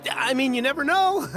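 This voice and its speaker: A man's voice: very high voice, fairly bright tone, full character voice. A man playing a nervous, eager merchant in an anime game, stammering and ingratiating. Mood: hesitant, suspicious. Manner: fawning, stammering.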